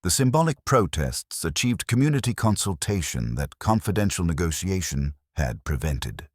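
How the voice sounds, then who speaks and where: A man narrating a detective story in a formal, measured, scripted audiobook style. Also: deep voice, big pitch swings, no echo, studio quality. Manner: formal, measured, wordy delivery.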